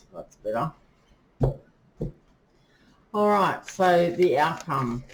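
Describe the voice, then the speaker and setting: A woman giving a casual tarot reading, chatty and full of fillers, pausing to ask her spirits and guides as she draws cards. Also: very deep voice. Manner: chatty, many fillers, talks to spirits while drawing cards. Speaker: tarot reader. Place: indoors with rain outside. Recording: faint rain noise behind.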